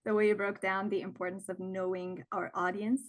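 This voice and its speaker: A woman's voice: mid-range voice, flat delivery, fairly quick. A woman hosting a webinar over a video call, reading questions from the chat and earnestly thanking the presenter. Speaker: webinar host.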